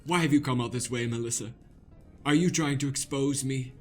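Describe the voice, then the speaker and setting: A man's voice: medium pitch, fairly quick, faint music behind, close to an everyday voice. A man playing a scheming, easily deflated witch, campy and melodramatic, in an improvised comic radio play. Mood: suave, sly. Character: theatrical villainous witch Matilda, scheming yet easily deflated.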